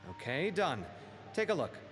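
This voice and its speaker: An actor voicing an expressive, chatty young man in a video game, with a theatrical, easily exasperated manner. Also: fairly high pitch, moderate pace, in a large hall, faint music behind. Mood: intrigued.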